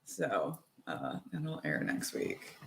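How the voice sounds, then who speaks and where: A woman's voice: a bass voice, big pitch swings, slow pace, gravelly, background noise. A young woman chatting casually on a livestream while knitting, rambling and laughing often. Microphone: phone mic with earbuds.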